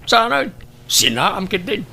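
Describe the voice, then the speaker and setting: A man acting a blunt, cynical older uncle who nags and scolds in an Ilocano audio drama, his voice gravelly. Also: high voice, big pitch swings, takes it slowly, bright, forceful tone, heavily acted. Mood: scolding, disapproving.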